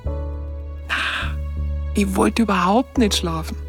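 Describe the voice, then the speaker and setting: An actress playing a wistful, warm-hearted woman with a Bavarian accent, telling her memories colloquially in a radio drama monologue. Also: mid-range voice, soft background music, natural voice. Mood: wistful, dreamy. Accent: southern German, Bavarian. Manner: colloquial, reminiscing, halting. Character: Christel looking back, wistful, warm-hearted woman storyteller.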